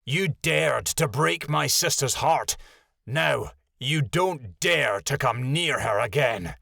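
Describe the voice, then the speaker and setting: A man voicing a fierce, protective male alpha wolf, low and menacing. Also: expressive, slow pace, creaky, bright, forceful tone, dry recording, clean studio sound, full character voice.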